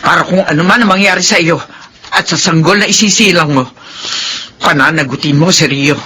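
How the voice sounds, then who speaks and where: A woman's voice: on the low side, fairly quick, pushed and bright, a little hiss or hum. A woman playing a stern, emotional older mother in a Filipino radio drama, speaking dramatically and emphatically. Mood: stern, accusing.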